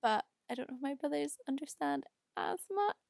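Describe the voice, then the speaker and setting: Young Scottish female creator, casual rambling voice-over, creaky edge, dry home recording. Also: sits high, wildly swooping pitch, a little fast, a little mellow, no echo. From Scotland. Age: young adult. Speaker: video creator doing a voice-over. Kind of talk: casual unscripted rambling chat. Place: quiet room at home.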